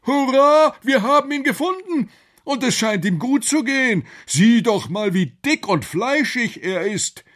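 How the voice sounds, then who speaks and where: A man voicing an excitable, enthusiastic eccentric professor, exclaiming eagerly in a children's radio story. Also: medium pitch, unhurried, voice pushed up. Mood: thrilled.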